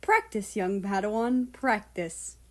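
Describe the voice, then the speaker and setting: A woman's voice: medium pitch, sing-song, slow pace. A woman voicing a cheeky, defiant young man in a story, with a sarcastic, smug manner.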